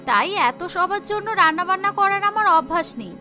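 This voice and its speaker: A woman's voice: extremely high pitch, lively intonation, brisk, sharp tone, heavily acted. A woman voicing a sensible, caring, dutiful young bride in a cartoon, polite and reassuring. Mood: apologetic. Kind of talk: Bengali cartoon voice acting. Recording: music behind the voice.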